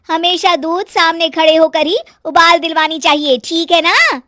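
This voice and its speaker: A woman voicing a bossy, fault-finding young daughter-in-law who scolds in a shrill, loud voice in a storybook cartoon. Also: measured pace, dry recording. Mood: nagging.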